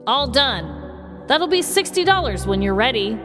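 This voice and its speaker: Woman acting a high-pitched salon nail technician. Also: big pitch swings, moderate pace, music in the background. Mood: businesslike. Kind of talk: short acted skit inserts.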